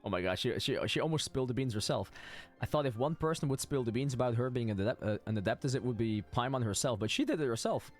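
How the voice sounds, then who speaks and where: A man's voice: mid-range voice, animated, quick pace. A man streaming a game with casual commentary and chat, often stammering and repeating words. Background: game music.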